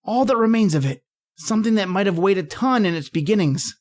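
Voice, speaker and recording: Woman playing an excitable, lecturing husband with swooping pitch. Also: a little fast, a little hiss or hum, close to an everyday voice. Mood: lecturing, eager. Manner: emphatic, lecturing, repeats words when excited. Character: excitable, dismissive husband, an eager amateur astronomer. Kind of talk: old-time radio horror drama acting.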